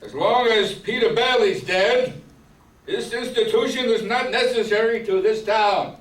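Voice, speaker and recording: Older man acting a scornful, high-voiced old miser. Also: a little slow, a bit bright. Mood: cold scorn. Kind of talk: amateur radio play acting. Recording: echoey room, distant mic, audience murmur.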